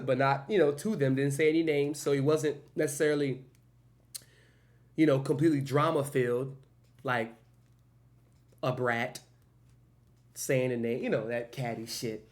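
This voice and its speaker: A man hosting a reaction channel, talking loosely in slang and breaking into quick hyped outbursts between calmer remarks. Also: a little high. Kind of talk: casual music video reaction commentary.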